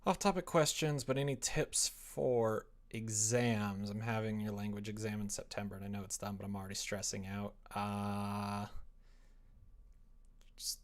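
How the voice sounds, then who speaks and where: A young man chats casually on a livestream while he works, thinking aloud in a halting way and often trailing off. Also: fairly low pitch, little pitch movement. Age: young adult. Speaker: livestreamer writing a visual novel. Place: small room at home, indoors.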